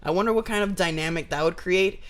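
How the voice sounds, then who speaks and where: A man's voice: sits high, fast talker, fairly bright tone. A man co-hosting a casual sports podcast from his apartment, talking with a sore throat and rambling through restarts. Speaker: podcast co-host.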